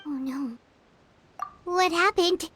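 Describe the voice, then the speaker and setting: A woman voicing a tiny, childlike fairy companion in a video game, squeaky, curious and earnest. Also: slow pace, slight background noise, exaggerated voice. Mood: worried. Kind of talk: video game character dialogue. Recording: loud music behind the voice.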